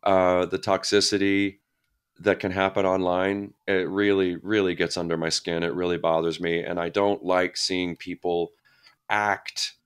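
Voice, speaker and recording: A middle-aged man with a notably low voice answers interview questions in a casual, reflective way. He talks thoughtfully, with frequent fillers and restarts as he searches for the right words. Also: level tone, measured pace, clear, resonant tone. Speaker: guest actor.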